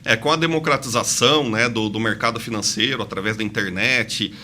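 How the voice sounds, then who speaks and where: A man's voice: fairly low pitch, fairly quick, pushed and bright, a little hiss or hum. A man of 46 from inland São Paulo with a mixed São Paulo and Santa Catarina accent, telling his story as a podcast guest. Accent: mixed São Paulo and Santa Catarina Brazilian. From interior of São Paulo state, Brazil. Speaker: podcast guest, investment advisor. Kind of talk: conversational interview, personal storytelling.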